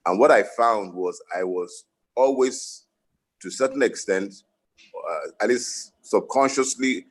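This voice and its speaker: Reflective Nigerian man on a panel. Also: on the low side. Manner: reflective, anecdotal, with frequent restarts. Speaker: lawyer and panel guest. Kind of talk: panel discussion.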